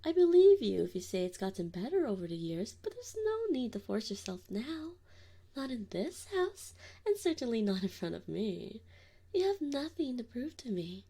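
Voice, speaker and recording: Woman playing a husky, doting wolf mother. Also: fairly high pitch, sing-song, heavily acted. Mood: warm, teasing. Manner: soft, slow, often whispered. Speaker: ASMR roleplay performer. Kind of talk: ASMR roleplay. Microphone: binaural mic.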